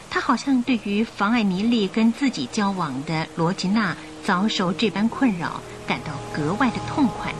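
A female radio drama narrator with a mid-pitched voice. She reads scripted story text with animated, expressive intonation. Music is clearly audible behind her.

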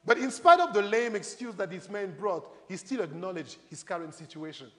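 High-voiced male preacher in a reverberant hall. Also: animated, fairly quick. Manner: conversational, rhetorical questions, addresses congregation directly. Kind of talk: animated sermon with acted-out Bible stories. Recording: reverberant hall, long echo.

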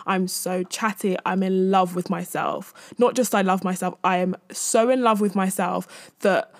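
A woman's voice: medium pitch, fairly even intonation, unhurried, dry recording. A woman in her twenties chatting casually and candidly on a solo podcast, rambling in a self-amused way into a close mic. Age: 20s. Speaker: podcast host.

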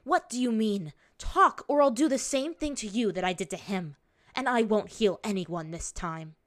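A woman's voice: medium pitch, big pitch swings, fairly quick, close to an everyday voice. Lady reader doing a bitter young waterbender girl, snappy and fierce, story narration style. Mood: threatening. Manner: snapping, snarling delivery.

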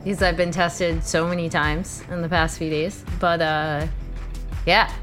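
A woman co-hosting a podcast from her apartment, sick, with her voice cracking and deeper than usual, chatting in a relaxed way. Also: unhurried, faint music behind. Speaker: podcast co-host. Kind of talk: casual podcast chat.

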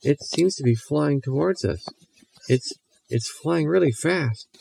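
A man voicing a fretful, soft-hearted boy in a bedtime story, with a plaintive, whiny delivery. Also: a little high, animated, measured pace, vocal fry, slight background noise, voice pushed up, heavily acted.

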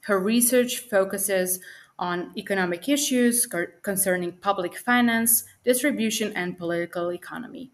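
A woman's voice: mid-range voice, moderate pace. Young woman hosting a podcast interview. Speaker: podcast host.

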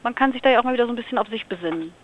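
Chatty woman from Lower Saxony. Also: racing. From Lower Saxony, Germany. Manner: chatty, thinking aloud with fillers. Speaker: interview guest. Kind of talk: relaxed radio interview answers. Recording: slightly dull radio recording.

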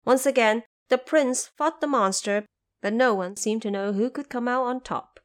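A woman's voice: fairly high pitch, normal speed. A woman narrating a fantasy audio drama in a dramatic, scripted storytelling style.

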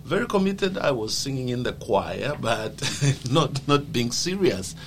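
A man's voice: mid-range voice, sing-song, a little slow. A man with a Zambian accent, earnest and thoughtful as he tells his life story in an interview. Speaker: guest. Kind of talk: personal life story in a conversation. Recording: noisy background.

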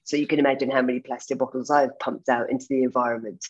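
A woman's voice: extremely low pitch, steady tone, fast talker, breathy edge. Woman on a muffled lo-fi video call. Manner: self-deprecating, passionate. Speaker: panel guest. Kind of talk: webinar panel talk.

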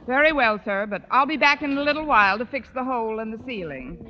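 An actress playing a sardonic high school teacher with a dry, wisecracking delivery, in old radio sitcom style. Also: female voice, expressive, fast, lightly acted. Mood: dry defiance. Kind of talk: 1940s-50s radio sitcom acting. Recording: noisy muffled old radio recording.

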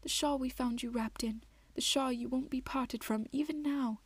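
A woman voicing an anxious, loving wood troll mother in a soft, tremulous, breathy voice for a storybook. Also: sits high, fairly even intonation, normal speed, no echo. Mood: tearful, confessing.

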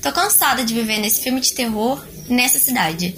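A woman playing an outspoken, caring but sarcastic young flatmate in an audio drama, with a Brazilian accent and colloquial speech. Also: fairly high pitch, moderate pace, over music, low-quality recording. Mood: wistful. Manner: colloquial, direct.

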